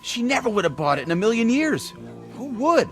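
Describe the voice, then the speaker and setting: A man's voice: very high-pitched, sing-song, a little fast, soft background music. An actor playing an eager, clumsy, gullible male coworker who talks in an earnest, folksy, emphatic way in a television drama. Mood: excited, insistent.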